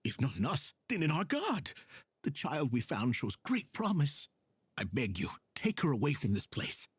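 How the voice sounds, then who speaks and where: An eager, obsequious villager in a game story. The husky voice sits high and swoops up and down as he pleads. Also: wildly swooping pitch, moderate pace, no room sound, clean studio sound, heavily acted. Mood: begging. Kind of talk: game voice acting.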